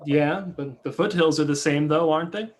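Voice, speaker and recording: Man acting an uptight, higher-voiced cautious brother. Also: normal speed, slight background noise, close to an everyday voice. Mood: curious. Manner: hesitant, guarded. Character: uptight, cautious screenwriter brother, male, polite and exasperated. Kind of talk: play reading, stage drama dialogue.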